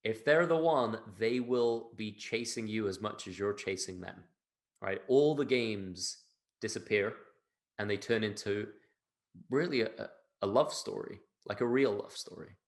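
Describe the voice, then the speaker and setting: A man's voice: lowish voice, measured pace. British man in emphatic conversation. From England. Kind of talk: conversational interview talk.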